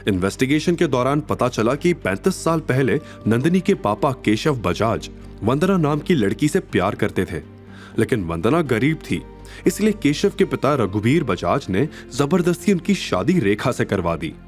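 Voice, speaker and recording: A man's voice: medium pitch, big pitch swings, moderate pace, faint music behind, natural voice. A man voicing a respectful male aide who reports formally and explains carefully in an audio drama. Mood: earnest.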